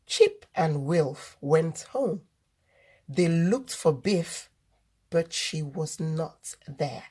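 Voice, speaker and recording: A woman reading a children's story aloud as host, in deliberate, expressive narration. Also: low voice, husky.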